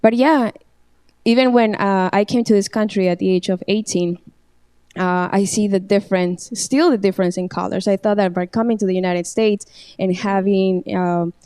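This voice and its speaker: A woman's voice: medium pitch, steady tone, moderate pace, mellow, smooth, no room sound. A woman who grew up in Mexico, speaking English with a Mexican Spanish accent as a guest in a casual panel talk, hesitant with many ums and restarts.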